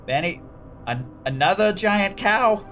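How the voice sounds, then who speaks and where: A man's voice: high-pitched, expressive, slow pace, a bit bright, soft background music, heavily acted. A man playing a cocky small-time thug who panics easily, in a horror comedy audio drama. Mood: bewildered, alarmed.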